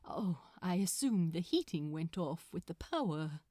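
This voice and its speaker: A woman's voice: lowish voice, expressive, measured pace, dark tone, no room sound, studio quality, pitched below the performer's own voice. A woman voicing a shy, polite, fussy bookseller man in a fan fiction audiobook, prim and easily flustered. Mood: fussy, concerned.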